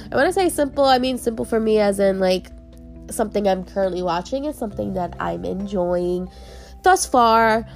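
A woman's voice: medium pitch, moderate pace, faint music behind. A woman hosting her own podcast in casual, bubbly chat, sounding congested and nasal from a cold. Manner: bubbly and chatty, laughs often. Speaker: podcast host.

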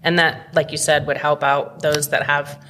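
Lowish-voiced woman hosting a casual podcast. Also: flat delivery, normal speed, a bit bright, a little hiss or hum. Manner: agreeable, informal. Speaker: podcast host. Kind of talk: casual interview chat.

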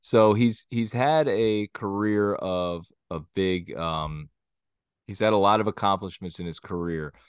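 A man with a fairly low voice talks in loose, rambling podcast chat, blunt and opinionated, with crude jabs now and then. His voice sounds close and dry, with no room around it. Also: a little slow, smooth, no echo. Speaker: podcast co-host. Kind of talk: casual wrestling podcast chat.

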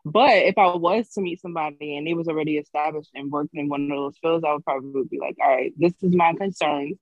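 A woman co-hosting a casual group chat, frank and animated, swearing freely. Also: sits low, fast, no room sound, lo-fi sound.

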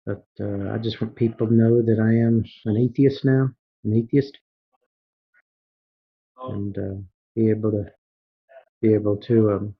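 A low-voiced man speaks as a caller giving personal testimony. His voice is husky, and he goes haltingly, in short pieces. The recording is a dull, muffled voicemail. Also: steady tone. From Kentucky, United States.

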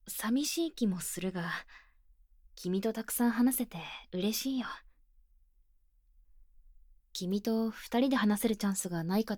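A woman playing an earnest, shy, tomboyish senior high school girl in an ASMR voice drama, speaking plainly and hesitantly, often near a whisper, close on a binaural mic. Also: darkish tone, no room sound. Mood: wistful, soft. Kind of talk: Japanese ASMR situation voice drama. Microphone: close binaural microphone, dry studio.

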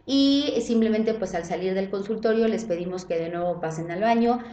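A woman's voice: mid-range voice, moderate pace, mellow, a little hiss or hum. Woman doctor, explaining in a roomy sound. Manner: friendly explanatory, tag questions. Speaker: gynecologist. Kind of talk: casual podcast conversation.

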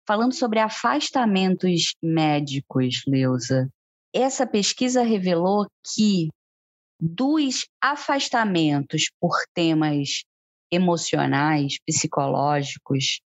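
A woman's voice: lowish voice, lively intonation, slow, no echo, muffled recording. A woman with a Brazilian Portuguese accent co-hosting a podcast, explaining points at length and often turning questions to her co-host. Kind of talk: conversational podcast talk.

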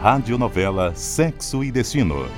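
A man's voice: mid-range voice, very animated, moderate pace. A man with a Brazilian accent reading scripted radio credits as a formal, emphatic announcer. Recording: music clearly underneath. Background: music.

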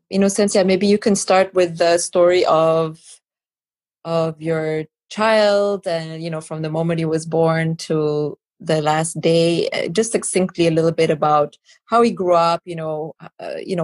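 A woman hosting a podcast interview, speaking in a conversational way with gentle prompts. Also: sits low, dry recording. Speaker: podcast host.

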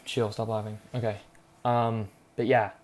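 A young man with a slightly low voice talks solo as a podcast host. He rambles off the cuff in a slangy, sarcastic way and swears freely. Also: little pitch movement, unhurried, a little mellow. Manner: heavy casual swearing, slangy; sarcastic, irreverent. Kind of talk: casual unscripted rambling monologue.

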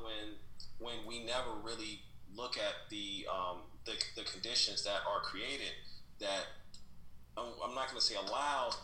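An American man answering as an interview guest, earnest and conversational, often halting and repeating phrases as he goes. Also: fairly low pitch, bright, forceful tone. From Kansas City, Missouri, USA. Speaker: guest, writer. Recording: echoey room, faint background noise.

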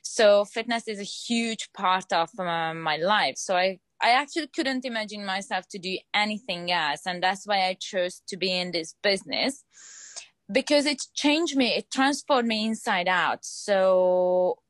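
A woman's voice: medium pitch, unhurried, a bit bright, dry recording. A woman in her late twenties from Hungary with a Hungarian accent, a fitness trainer answering interview questions earnestly, often searching for words and repeating phrases. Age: 20s.

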